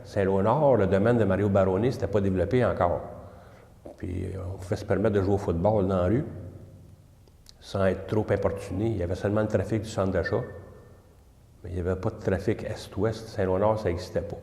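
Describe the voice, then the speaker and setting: Older man, Quebec French accent, unhurried, hesitant, many pauses, echoey room. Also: deep voice, fairly even intonation, creaky, warm tone. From Quebec, Canada. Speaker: interviewee. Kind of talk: recalling childhood memories. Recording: echoey room with long reverb.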